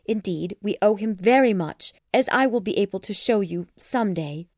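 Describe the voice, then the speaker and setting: An earnest young heroine of a storybook, read by a woman, speaks with a lively, expressive range. She is sincere and spirited, in a dry, close recording. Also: medium pitch, normal speed, no echo, close to an everyday voice.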